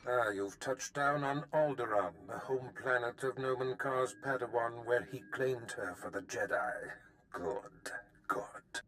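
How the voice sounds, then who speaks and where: Male actor as imperious Sith Lord: mid-pitched, theatrical, condescending, cruel game villain. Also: measured pace, background noise, lightly acted. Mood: sly, commanding. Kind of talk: video game voice acting.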